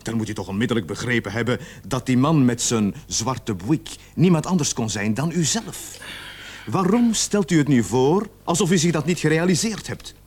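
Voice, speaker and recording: Stern police interrogator, methodical, pressing, accusatory, widely varied intonation, radio play. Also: male voice, mid-range voice, animated, moderate pace, slightly rough. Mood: stern, accusing. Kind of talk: radio drama acting.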